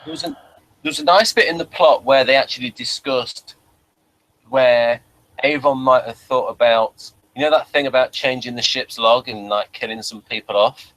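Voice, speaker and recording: A man co-hosting a casual chat, asking questions in a halting way and repeating his opening words. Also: medium pitch, a bit bright, poor audio. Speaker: podcast co-host asking questions.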